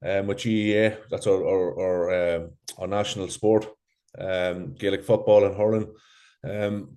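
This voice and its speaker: An Irish man, a rugby coach, answering interview questions with many pauses, ums and repeated words. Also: fairly low pitch, dark tone. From Ireland.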